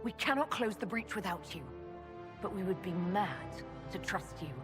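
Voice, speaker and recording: A woman performs a resolute young hero leading in a game cutscene. Her mid-range voice is firm and measured, carrying some hall reverb. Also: medium pitch, lively intonation, music in the background, lightly acted. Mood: commanding. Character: resolute young female hero, commanding leader. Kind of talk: video game cutscene acting.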